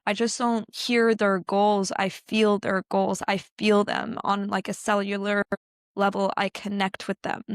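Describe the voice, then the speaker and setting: A woman's voice: medium pitch, little pitch movement, unhurried, clear, resonant tone, no echo, studio quality. A French-speaking Canadian woman, a guest on a podcast, talking reflectively and stopping now and then to find her words. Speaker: podcast guest, business owner. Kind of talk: casual interview talk.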